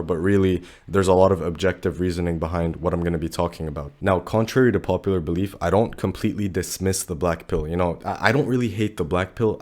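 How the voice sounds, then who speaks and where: A young man, a little sick and deeper-voiced than usual, giving blunt, animated dating commentary full of slang. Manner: blunt, animated, slangy, says bro a lot. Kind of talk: casual commentary to camera.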